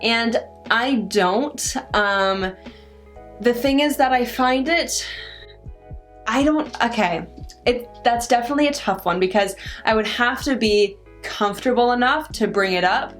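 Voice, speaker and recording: Young woman who stutters, slow and halting. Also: on the high side, a bit bright. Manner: stutters, repeats first sounds of words; halting, many restarts and pauses. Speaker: vlogger answering viewer questions. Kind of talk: casual Q&A chat. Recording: faint guitar music underneath.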